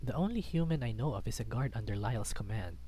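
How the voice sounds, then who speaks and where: A man's voice: medium pitch, animated, fast, dark tone, slight background noise. A man reading a visual novel aloud as dramatic scripted narration. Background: background music.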